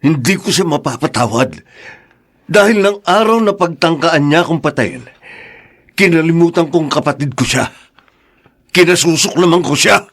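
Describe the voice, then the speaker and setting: An actor playing a stern, resentful politician son in an audio melodrama, speaking emphatically. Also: male voice, a little high, lively intonation, normal speed, pauses often, fairly bright tone. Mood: bitter, angry. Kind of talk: melodramatic audio drama acting.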